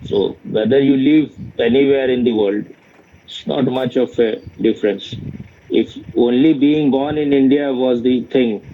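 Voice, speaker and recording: A man giving an informal spiritual question-and-answer talk as a teacher, speaking in a halting, repetitive and bluntly opinionated way. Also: mid-range voice, a little hiss or hum. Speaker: spiritual teacher in dialogue with a student. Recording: dull, lo-fi sound.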